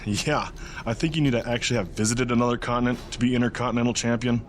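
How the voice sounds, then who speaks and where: A dim but earnest wrestling sidekick played by a man talks fast at a medium pitch. He sounds naively sure of himself in game banter, with faint noise behind. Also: fairly even intonation, close to an everyday voice. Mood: mocking. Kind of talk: video game cutscene banter.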